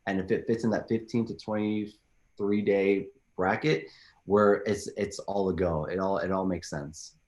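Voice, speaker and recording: A very low-pitched man talks loosely as an interview guest, circling back with lots of "like" and restarts. His voice rings in a roomy, echoing space. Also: steady tone, measured pace, darkish tone. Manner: rambling, filler-heavy, frequent restarts. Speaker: filmmaker guest. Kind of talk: casual interview answers.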